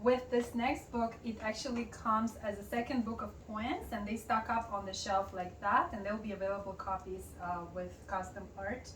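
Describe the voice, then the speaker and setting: A woman's voice: mid-range voice, fairly even intonation, normal speed, hardly any pauses, a bit bright. Russian-native woman guest, casual and chatty, recorded off-mic in a roomy home. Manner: chatty, explaining with many fillers. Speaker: interview guest, poet and artist. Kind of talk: casual interview. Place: home interior. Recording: roomy, distant mic, faint hiss.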